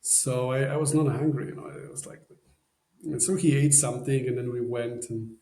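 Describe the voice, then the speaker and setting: Man, Polish accent, gravelly mellow voice, rambling fillers, echoey room. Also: mid-range voice, fairly even intonation, moderate pace. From Poland. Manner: rambling, many "you know" fillers, non-native phrasing. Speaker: podcast guest. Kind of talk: casual storytelling.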